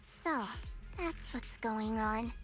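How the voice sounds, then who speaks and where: A woman's voice: on the high side, extremely slow, faint music behind. A woman voicing a proud, cool-headed young female investigator in an anime-style game, self-assured and aloof. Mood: thoughtful.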